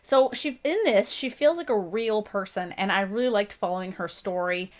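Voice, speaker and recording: Canadian woman, 30s, book-review host; gravelly, chatty, self-mocking, mid-pitched. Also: normal speed. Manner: chatty and self-deprecating, with frequent laughs and restarts. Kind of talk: casual spoken book review.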